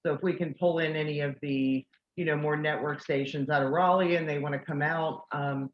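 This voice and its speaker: A woman's voice: low-pitched, fairly even intonation, a little fast, reverberant, dull audio. A woman who organizes a convention, chatting casually and telling a self-deprecating story. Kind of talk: casual video call chat.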